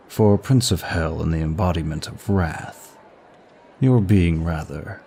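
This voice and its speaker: A man playing a suave, teasing demon prince of lust in an ASMR roleplay, bored and sadistic, with a languid, husky delivery. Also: deep voice, warm tone, slight background noise. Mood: teasing, amused.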